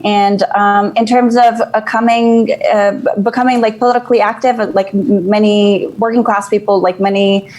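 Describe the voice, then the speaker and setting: A woman's voice: medium pitch, fairly even intonation, normal speed, hardly any pauses, a little mellow. A woman from Afghanistan answering interview questions, hesitant, with many fillers and restarts. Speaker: guest. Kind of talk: interview conversation.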